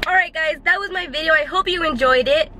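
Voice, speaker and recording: A woman's voice: high voice, fast talker, sharp tone, a little hiss or hum. A young woman filming a casual vlog while driving, talking animatedly and scolding other drivers in mock road rage, recorded inside a car. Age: young adult. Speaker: vlogger. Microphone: dashboard camera mic.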